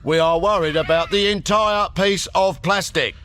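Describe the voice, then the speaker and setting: A man's voice: high-pitched, normal speed, very noisy, lightly acted. An actor playing a menacing alien barman, a sardonic, bullying heavy in a radio comedy. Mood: threatening. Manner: threatening, sardonic, emphatic. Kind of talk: radio comedy drama acting.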